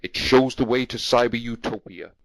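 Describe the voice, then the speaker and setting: A man's voice: medium pitch, moderate pace, fairly bright tone, no room sound, natural voice. A man playing a reverent robot believer in ancient legends, earnest and fervent, in an audio drama.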